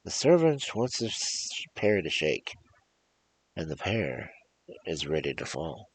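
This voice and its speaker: A man with a gravelly, husky voice hosting a storytelling show, reading fairy tales in a theatrical, emphatic, rhythmic way. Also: extremely low pitch, sing-song, takes it slowly, low-quality recording. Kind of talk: animated performed fairy-tale reading with casual asides.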